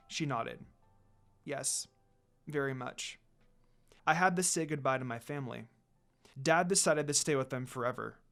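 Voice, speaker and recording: A man reading a fan fiction story aloud, dropping into soft, quiet tones for the characters' lines. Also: medium pitch, creaky. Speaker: fanfiction reader.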